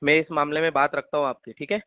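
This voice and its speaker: A man's voice: on the high side, level tone, fast talker, smooth, no echo. A man chatting casually and supportively on the phone, agreeable and often repeating short affirmations. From Madhya Pradesh, India. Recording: dry narrow phone-call line.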